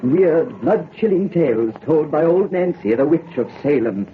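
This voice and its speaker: A man's voice: fairly high pitch, animated, unhurried. A man announcing a 1930s radio horror show in a declamatory, melodramatic manner. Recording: very noisy, tinny old radio recording.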